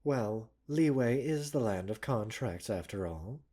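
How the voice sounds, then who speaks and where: A man playing a gentle, caring doctor in a roleplay ASMR, speaking softly and breathily with measured, polite, gently chiding formality. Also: lowish voice, animated. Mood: warm, amused. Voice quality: soft, breathy. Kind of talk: roleplay ASMR, scripted character acting.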